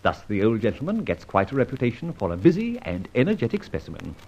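An actor playing a suave, flippant gentleman adventurer in an old-time radio serial, with breezy old-fashioned slang. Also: mid-range voice, huge pitch swings, fast, creaky. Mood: confident, scheming. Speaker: narrator and lead actor. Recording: muffled vintage radio recording, faint noise.

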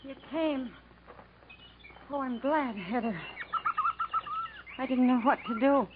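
A woman's voice: high voice, slow. An actress playing a shaken but brave woman stranded in the jungle, earnest and plaintive in 1950s radio acting style. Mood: shaken, relieved. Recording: narrow, faintly noisy vintage radio recording.

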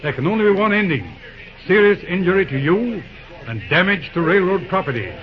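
A muffled old radio drama recording of a man playing a folksy, crafty judge. His voice sits fairly high and swings widely as he makes peace in courtly, mildly mocking phrases, stumbling now and then. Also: lively intonation, crowd noise. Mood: stern. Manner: courtly, deliberate, slightly mocking politeness. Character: folksy, wily, calm judge, a railroad town's peacemaker. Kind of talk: old-time radio western drama acting.

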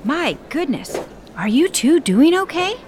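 A woman's voice: high voice, big pitch swings, a little slow, darkish tone. A woman acting in an audio drama as a polite, solicitous club volunteer. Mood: concerned, polite. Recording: clear background noise.

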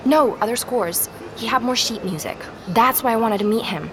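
An actress playing an earnest, rattled young woman investigator in a naturalistic audio drama, speaking haltingly as she records her search. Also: on the high side, lightly acted. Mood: troubled, explaining. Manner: hesitant, halting, with repeated words. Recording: street and vehicle noise behind.

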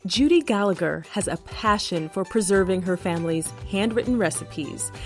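A woman hosting a podcast, reading a scripted introduction in a measured way. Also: mid-range voice, lively intonation. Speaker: podcast host. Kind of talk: scripted narration. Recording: faint music underneath.

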